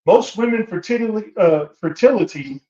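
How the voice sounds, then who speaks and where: A man's voice: high-pitched, animated, normal speed, mellow. A man chatting and debating casually as a talk show panelist, often hesitating and repeating himself. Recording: echoey room, dull lo-fi sound.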